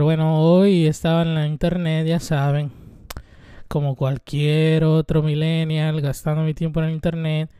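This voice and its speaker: A man's voice: on the high side, warm tone, smooth, no room sound, studio quality. Rambling, swearing young Mexican man. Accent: Mexican Spanish. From Mexico (Veracruz). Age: 20s. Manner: rambling, irreverent, swears freely, many fillers. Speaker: podcast host. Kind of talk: casual solo monologue.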